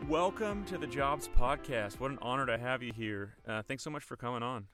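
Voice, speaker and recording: A man's voice: mid-range voice, a little fast, a bit bright, soft background music. A man hosting a podcast, chatting casually and rambling with lots of "like" and "um". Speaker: podcast host. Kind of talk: casual chat.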